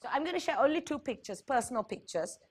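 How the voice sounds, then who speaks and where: Woman, Sri Lankan accent, fairly high voice, conference presenter, candid, wry, emphatic. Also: animated, quick pace, husky. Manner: candid, wry, emphatic, uses repetition and checks with "right?". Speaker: health agency speaker, risk communication expert.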